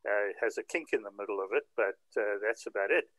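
A man's voice: on the low side, fast talker, fairly bright tone, dry recording, poor audio. An older man from South Africa chatting casually and in detail on a group video call. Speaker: participant in a hobby group chat. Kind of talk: casual chat, recounting.

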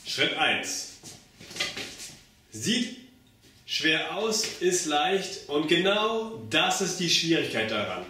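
A man's voice: fairly high pitch, big pitch swings, slow pace, pauses often, sharp tone, a little hiss or hum. A young man giving a step-by-step demonstration as an instructor, physically active as he talks, recorded by a camera mic across a bare room. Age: young adult. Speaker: circus pantomime instructor. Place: bare indoor room with hard walls. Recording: very echoey room, far from mic.

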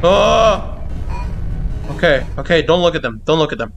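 A man's voice: on the high side, sing-song, normal speed, creaky, over music. A man giving reaction commentary on a horror game, jumpy and exclaiming, swearing and repeating himself. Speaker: gaming video creator.

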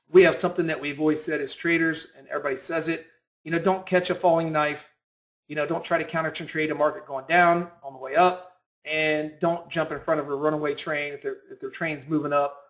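Higher-pitched man explaining like an instructor. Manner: repetitive, emphatic explaining with rhetorical questions. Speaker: trading instructor. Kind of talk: informal instructional screen-share talk. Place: voice-over on a screen recording.

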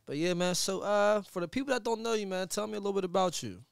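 A man in his thirties hosting a podcast in a small studio, talking in a relaxed, slangy way into a boom mic. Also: quick pace, gravelly, smooth, no echo. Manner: laid-back slangy talk, relaxed hype. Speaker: podcast host. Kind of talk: casual interview. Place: small studio with foam wall. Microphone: studio mic on boom arm, headphones.

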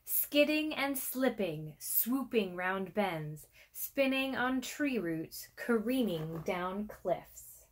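A woman in her thirties reading aloud to children as an educator, in an expressive storytime style with measured, deliberate phrases and pauses. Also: medium pitch, slight background noise. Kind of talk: expressive children's picture-book read-aloud. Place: small furnished room with armchair and bookshelf, indoors.

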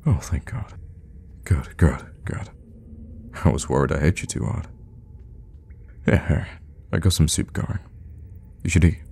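A man playing a mysterious, outwardly friendly vampire hermit in an ASMR audio roleplay, talking in a halting, softly teasing way with quiet menace. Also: very deep voice, gravelly, slight background noise, full character voice. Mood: soothing. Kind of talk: ASMR audio roleplay, intimate in-character monologue.

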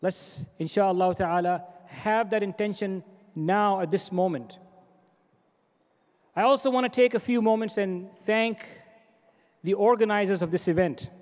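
A middle-aged man gives a formal welcome speech from a podium, earnest and exhorting, often repeating his phrases. His voice sits high for a man. Podium microphones catch him, and a long reverb trails after each phrase in the large hall. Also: a little slow, darkish tone. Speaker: organization president giving a welcome address. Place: large convention hall stage.

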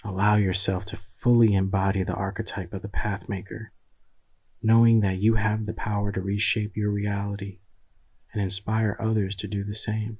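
A man leading a guided meditation in a soothing, deliberate manner with long pauses and a husky voice. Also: on the low side, flat delivery, a little mellow, no room sound, rough recording.

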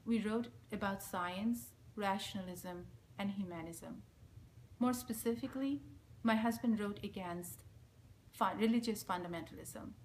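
Bangladeshi lady reading her statement slow and steady, solemn, mid pitch, faint hiss in a small room. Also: poor audio. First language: Bengali. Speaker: speaker giving a recorded message. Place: small indoor room, plain wall. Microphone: front-facing camera at close range.

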